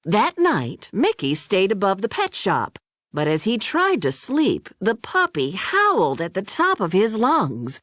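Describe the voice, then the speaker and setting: A woman narrating a children's read-along storybook in an expressive, deliberate storytelling manner. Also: medium pitch, dry recording, clean studio sound.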